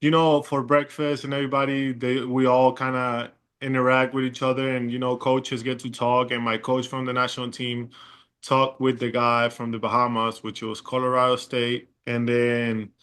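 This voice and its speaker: A young man from Chile with a Chilean Spanish accent, a guest in a casual interview, talking in a relaxed, rambling way with many fillers. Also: mid-range voice, moderate pace, clean tone. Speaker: guest, pro basketball player.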